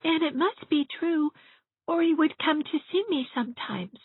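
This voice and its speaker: A woman's voice: high-pitched, moderate pace, no echo, narrow, tinny audio, voice pushed up, close to an everyday voice. A woman voicing a small, lonely, earnest little girl who confides in a plaintive way, as in a storybook reading. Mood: wistful.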